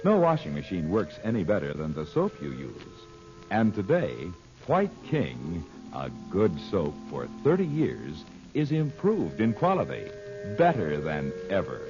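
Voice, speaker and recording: A man's voice: low voice, wildly swooping pitch, measured pace. A male announcer delivering a scripted old-time radio narration in a formal, dramatic, emphatic manner. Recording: dull vintage radio broadcast recording. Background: music.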